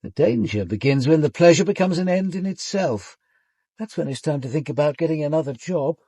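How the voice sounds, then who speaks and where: British senior detective, lively range, husky, dry close sound, measured and formal, probing. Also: male voice, on the high side, dry recording. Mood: grave, reflective. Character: composed, probing senior police commander, a male detective. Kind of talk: radio drama acting with first-person narration.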